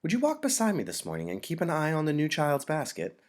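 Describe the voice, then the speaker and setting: Man reading as an encouraging father, pitch lifted. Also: huge pitch swings, quick pace. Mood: kindly instructing. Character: attentive, encouraging father of a young family.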